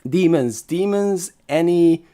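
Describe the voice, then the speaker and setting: Slow, deliberate male spiritual teacher. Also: a little high, mellow. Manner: slow, deliberate, emphatic with repeated phrases. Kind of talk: explanatory talk on self-development.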